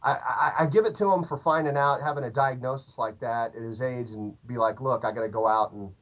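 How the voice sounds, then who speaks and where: A man's voice: medium pitch, brisk. A man in his thirties with a southern Illinois accent, chatting casually as a podcast guest, rambling with many fillers and restarts. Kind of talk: casual interview chat. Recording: dull lo-fi podcast audio.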